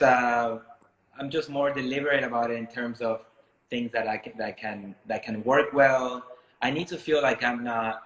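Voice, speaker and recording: A man from Miami talking casually and reflectively as an interviewed artist, thoughtful and halting as he searches for words. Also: mid-range voice, a bit bright. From Miami, United States, born in Ecuador. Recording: echoey room sound.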